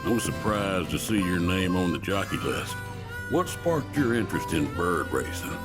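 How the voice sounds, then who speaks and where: Folksy Southern ranch boss in a game, low drawl, colorful and swinging, sure of himself. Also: male voice, big pitch swings, normal speed, loud music behind the voice. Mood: jovial. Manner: drawling, colloquial. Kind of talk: game cutscene dialogue.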